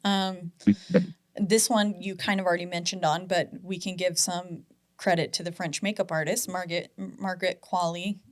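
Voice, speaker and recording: A woman's voice: on the low side, little pitch movement, normal speed. A woman around thirty co-hosting a podcast, reading out movie facts with casual asides. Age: 30s. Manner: reads facts aloud with asides. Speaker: podcast co-host. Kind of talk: casual chat, reading trivia.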